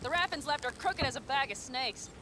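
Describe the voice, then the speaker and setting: A woman's voice: high voice, expressive, fairly quick, noisy background. An actress voicing a blunt, self-assured female desert nomad in a video game who lays out plans in a dry, matter-of-fact way. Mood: calm, assured. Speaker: game character.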